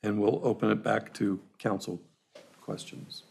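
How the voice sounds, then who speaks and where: Gravelly mayor guy moving the meeting along, kinda husky. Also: on the low side, steady tone, a little mellow. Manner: brisk, procedural. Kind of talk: chairing a public meeting. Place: council chamber.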